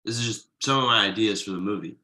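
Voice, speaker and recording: A man's voice: lowish voice, fast, bright, forceful tone. A man reading the part of a forbearing, drily sarcastic husband and father in a conversational comedy table read, with roomy echo. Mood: patient, wry. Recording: roomy, echoey online video call.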